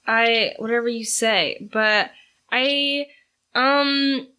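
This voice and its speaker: A young woman with a bright, fairly high voice, chatting casually on a podcast with her mother. She giggles often and fills her talk with "like" and "um". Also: smooth. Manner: giggly, quick, lots of fillers. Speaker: podcast co-host, daughter. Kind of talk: casual chat.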